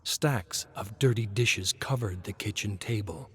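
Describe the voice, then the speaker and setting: A man's voice: on the low side, a little slow, fairly bright tone, slight background noise. A man narrating a scripted suspense story as a narrator.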